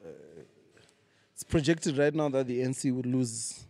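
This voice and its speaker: A man, a comedian guest chatting casually and giving strong opinions with animated, storytelling delivery. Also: medium pitch, unhurried. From Zimbabwe. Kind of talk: casual conversational interview. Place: lounge venue.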